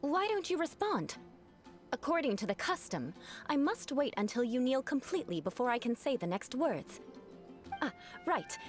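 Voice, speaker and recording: A woman's voice: high-pitched, big pitch swings, moderate pace. Proud young female knight, aristocratic, formal, dry humor, game music faint. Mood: haughty. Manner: formal, stately, dryly self-mocking. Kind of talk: video game dialogue.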